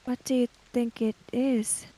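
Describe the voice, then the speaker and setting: A woman's voice: a little high, level tone, a little slow, mellow, low-quality recording. A woman playing a tabletop role-playing game, improvising in a hesitant, tentative manner. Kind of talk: improvised in-game talk and narration.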